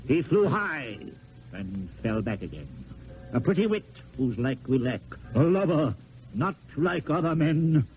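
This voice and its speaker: An actor playing a proud, lovelorn, dying poet-swordsman in an old-time radio play, with theatrical, declamatory delivery and drawn-out poetic phrases. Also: a little high, very animated, a little mellow. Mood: wry, bitter. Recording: dull lo-fi old radio, music.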